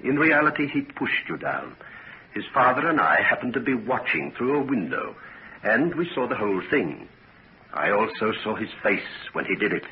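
An actor playing a grave, protective middle-aged father in a 1940s radio drama, speaking with solemn, deliberate earnestness. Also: lowish voice, very animated. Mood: ominous. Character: grave, suspicious, protective father of a grown daughter. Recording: dull, noisy 1940s radio recording.

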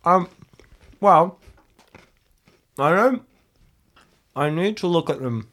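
A man's voice: fairly high pitch, lively intonation, slow pace, fairly bright tone. A man playing in an improvised tabletop audio drama, talking casually in a hesitant, chatty way. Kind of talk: casual improvised role-play.

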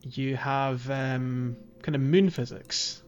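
A man with a mid-pitched voice walks through a game in a casual, chatty way as podcast co-host. The recording is a little dull and lo-fi, with light music behind him. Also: steady tone, slow pace, clean tone. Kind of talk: casual chat, guiding a game playthrough. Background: background music.

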